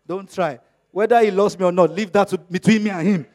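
A middle-aged man whose first language is Yoruba, preaching a sermon in an animated way, acting out little dialogues as he goes. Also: fairly quick. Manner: animated, acts out dialogues with rising pitch and volume.

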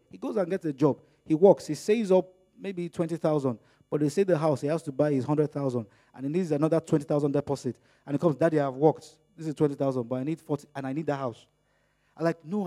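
Animated high-voiced preacher, Yoruba speaker. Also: male voice. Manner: animated, acts out dialogues with rising pitch and volume. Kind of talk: sermon.

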